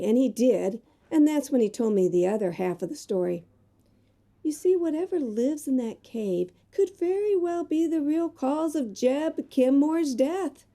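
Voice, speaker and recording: A woman's voice: on the high side, creaky, mellow, exaggerated voice. A woman playing an earnest, fearful magazine reporter in an audio drama, speaking in a dramatic, hesitant way. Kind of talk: amateur audio drama acting.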